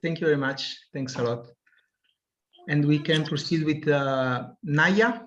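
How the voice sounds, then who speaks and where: A man moderating an online webinar, polite and halting, often repeating words as he calls on each participant. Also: fairly high pitch, a little hiss or hum. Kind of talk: hosting an online meeting. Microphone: video call. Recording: muffled video-call audio.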